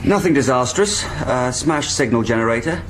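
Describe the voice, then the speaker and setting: An actor playing an easygoing, matter-of-fact technician at a polar base in a 1980s radio thriller. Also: male voice, medium pitch, animated, normal speed, slight background noise, lightly acted.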